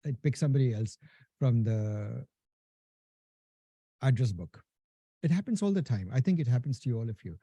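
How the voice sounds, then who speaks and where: A man's voice: medium pitch, animated, normal speed, long pauses, warm tone, slightly rough, no room sound. A man with a thick Indian accent, giving a casual live technical lecture to a class, often asking "right?" and restarting sentences. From India and Nepal. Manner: casual, talks to the class as "guys", many "right?" tags and restarts. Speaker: instructor.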